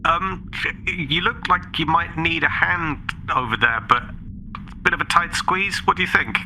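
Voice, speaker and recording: Man doing a very bright, eager starship crewman. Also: on the high side, a little slow, a little hiss or hum, heavily acted, poor audio. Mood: friendly, reassuring. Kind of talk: improvised tabletop sci-fi roleplay.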